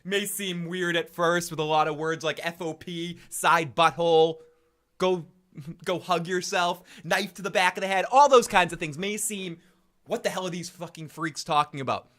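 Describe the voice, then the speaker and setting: A middle-aged man with a Boston accent hosts a casual live stream, rambling and cursing with excitable outbursts, saying he is sick. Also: sits high, normal speed. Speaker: podcast host.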